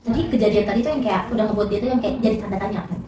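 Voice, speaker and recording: A woman's voice: mid-range voice, racing, reverberant, distant mic, background noise. Chatty young woman telling a story. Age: young adult. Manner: chatty, many fillers and restarts. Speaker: student podcast storyteller. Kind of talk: casual storytelling chat.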